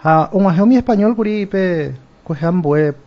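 A man's voice: sits high, expressive, moderate pace, highs cut off. A man with a Paraguayan accent presenting sports results on radio, mixing Guarani into his Spanish. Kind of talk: radio news chat.

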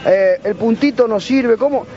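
A man hosts a casual sports chat, animated and easily worked up. His voice is high for a man. The audio is a little muffled, with a faint hiss. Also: normal speed. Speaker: panel host.

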